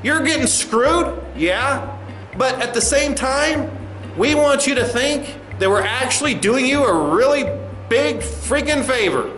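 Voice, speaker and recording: Man playing a smug, high-voiced corporate spokesman. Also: expressive, a bit bright, echoey room, faint music behind, heavily acted, rough recording. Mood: blaming, mocking. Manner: condescending, halting with mock-official filler. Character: smug, condescending male corporate spokesman, openly contemptuous. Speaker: corporate spokesman in a comedy sketch. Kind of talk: satirical press conference acting.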